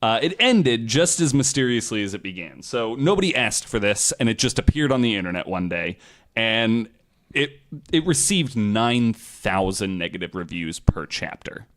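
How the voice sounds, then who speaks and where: A man with a fairly low voice hosts a casual podcast. He tells a researched story with plenty of swearing. He leans theatrically on key phrases, as if presenting to friends. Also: sing-song, moderate pace, fairly bright tone.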